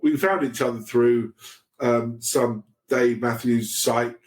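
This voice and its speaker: A man's voice: mid-range voice, takes it slowly. A man guesting on a podcast over a video call, chatting casually in a rambling way with many restarts. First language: English. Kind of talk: casual chat. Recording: echoey room.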